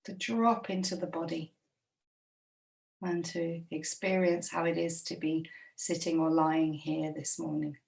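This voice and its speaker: A woman guiding a body scan meditation, speaking slowly and gently with long, unhurried pauses. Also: low voice, fairly even intonation, vocal fry, distant mic. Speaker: meditation guide.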